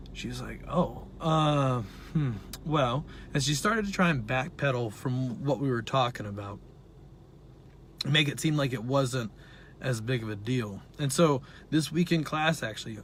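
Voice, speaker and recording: A man who is a dietitian and nutrition professor, talking casually and earnestly to his viewers while his voice is raspy from laryngitis. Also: medium pitch, a bit bright, slight background noise. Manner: earnest, conversational, with frequent fillers. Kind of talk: casual talk to camera.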